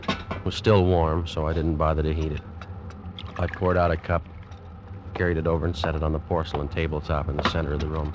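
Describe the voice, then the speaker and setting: An actor playing a guilt-ridden young seaman who narrates his terror in a grave, deliberate old-time radio style. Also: very deep voice, noisy background. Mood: tense. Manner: slow, deliberate, intense dramatic delivery. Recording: vintage radio drama with music behind. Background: dramatic music.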